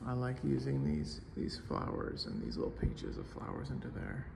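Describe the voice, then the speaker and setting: A man giving low-key, casual commentary as an artist walking through an art gallery, often trailing into murmured asides. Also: huge pitch swings, moderate pace, creaky, noisy background. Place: large indoor art gallery with hard floors.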